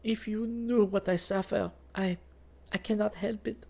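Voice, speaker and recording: A man's voice: high-pitched, fairly even intonation, a little slow, gravelly, a little mellow, pitched above the performer's own voice. A man voicing an anxious, affectionate young woman who pleads tenderly with her lover in an audiobook. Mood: anxious pleading. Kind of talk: audiobook character dialogue.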